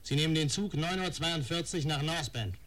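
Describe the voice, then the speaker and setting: An actor playing a cold, menacing blackmailer in a German radio crime drama, giving curt, taunting commands. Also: male voice, on the high side, moderate pace, fairly bright tone, natural voice. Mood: cold, threatening.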